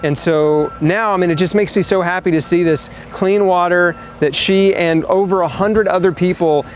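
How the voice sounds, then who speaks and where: A man's voice: high voice, fairly even intonation, normal speed, a little hiss or hum. A man presenting a charity appeal in a casual, earnest way, talking straight to the viewer with rhetorical questions. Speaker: fundraiser and presenter.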